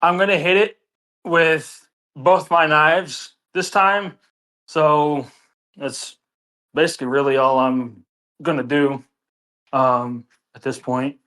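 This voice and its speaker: A tabletop game player of ambiguous-sounding gender speaks slowly and casually. The delivery is hesitant and matter-of-fact, in a mid-range voice. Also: mid-range voice, fairly even intonation, fairly bright tone.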